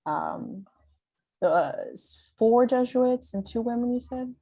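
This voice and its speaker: A woman's voice: fairly high pitch, animated, slow, warm tone, husky. A woman conducting an informal interview, feeling her way through tentative, meandering questions. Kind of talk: informal oral history interview.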